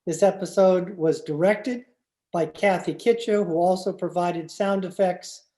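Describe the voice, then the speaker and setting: A man's voice: high-pitched, fairly even intonation, measured pace, dark tone. A man reading scripted closing credits as the announcer of a radio drama. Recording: echoey room.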